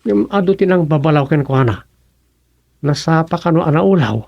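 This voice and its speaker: A man plays a grumbling small-town political hopeful in a radio serial. His voice is creaky and a little high, recorded close and dry. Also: lively intonation, normal speed, no room sound, muffled recording. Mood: brooding. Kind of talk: radio serial comedy drama dialogue in Ilocano.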